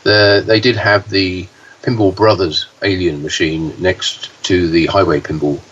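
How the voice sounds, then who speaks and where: Low, hoarse man on a distant podcast line. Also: fairly even intonation, fairly bright tone. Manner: halting, many fillers and restarts. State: hoarse, deeper voice than usual. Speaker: podcast co-host, pinball news reporter. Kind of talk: casual podcast chat. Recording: dull, slightly distant, faint hiss.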